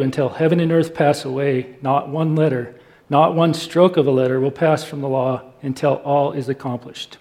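A man reading scripture aloud in a steady, measured way. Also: mid-range voice, rough recording. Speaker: volunteer scripture reader.

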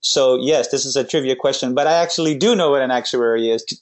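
A man's voice: mid-range voice, fast. A man hosting a faith podcast, interviewing a guest in a rambling style with many restarts. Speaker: podcast host.

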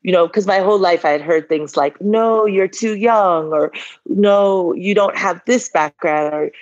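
A woman in her forties speaks as a guest in an interview, lower-pitched for her sex. She tells her story in a lively, candid way and laughs often. The audio is dull and lo-fi. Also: unhurried. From Liberia. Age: 40s.

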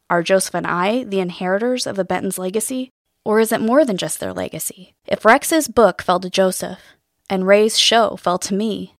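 An actress playing a thoughtful, inquisitive podcast host, narrating a scripted mystery in a measured, wry, reflective way. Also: female voice, mid-range voice, dry recording, clean studio sound, lightly acted. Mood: pondering.

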